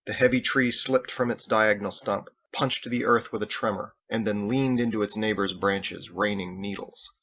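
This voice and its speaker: A man's voice: fairly low pitch, steady tone, moderate pace, few pauses, a bit bright. Man narrating fiction, slightly dull sound. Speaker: author reading own story. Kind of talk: scripted audiobook narration.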